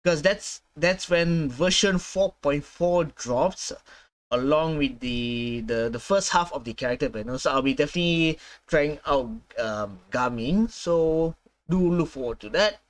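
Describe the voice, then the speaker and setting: A man in his twenties hosting a casual gaming reaction, halting and repeating himself as he searches for words. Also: a little high, animated. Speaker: gaming reaction video host. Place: small room at home, indoors.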